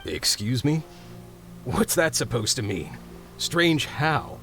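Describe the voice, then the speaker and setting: A man's voice: medium pitch, very animated, slow, a bit bright, faint music behind, exaggerated voice. A man playing an easygoing, plain-spoken forge worker in a video game, casual and folksy. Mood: puzzled. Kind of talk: video game dialogue acting.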